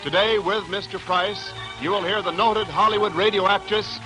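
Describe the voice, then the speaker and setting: High-pitched male radio announcer. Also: steady tone, low-quality recording. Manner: emphatic, measured, showy. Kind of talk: formal 1940s radio announcing. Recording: old 1940s radio broadcast, music behind.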